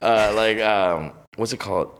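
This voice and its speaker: A man's voice: lowish voice, unhurried, fairly bright tone, clear, resonant tone. A young man from Los Angeles, a podcast guest in casual interview chat, who talks in a slangy, profane, rambling way with lots of fillers. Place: hot room on a high floor.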